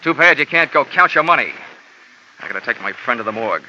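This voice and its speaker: A man's voice: mid-range voice, lively intonation, fast talker, bright, forceful tone, a little hiss or hum. An actor playing a hard-boiled male insurance investigator, narrating and talking in a terse, sardonic, wisecracking way for a 1940s radio drama. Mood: bitter. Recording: dull old radio broadcast with music.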